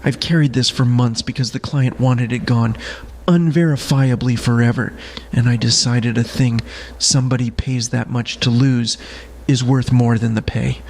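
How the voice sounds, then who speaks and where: A man playing a guarded, weary smuggler in an audio drama, speaking in a grave, deliberate way. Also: mid-range voice, steady tone, darkish tone, slight background noise, lightly acted. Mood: grave confession. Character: guarded, weary male smuggler carrying a dangerous secret.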